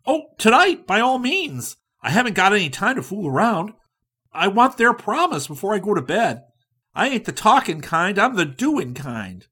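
A man voicing an eager, pushy, boastful man in a hurry who talks in an excited, loud rush. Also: high-pitched, normal speed, breathy edge, voice pushed up, heavily acted.

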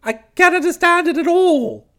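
Guy doing a posh young english chap, high pitched n swooping, flustered, evasive, storybook. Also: measured pace, exaggerated voice. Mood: bewildered, protesting. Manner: excitable, stammering protests.

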